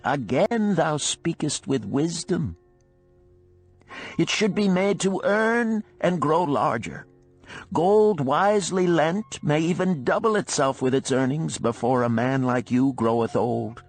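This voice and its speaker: A man voicing a wise, cautious older gold lender of ancient Babylon in a storybook, solemn and deliberate in archaic speech. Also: on the high side, expressive, faint music behind, full character voice. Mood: approving, cautioning. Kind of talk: storybook character reading.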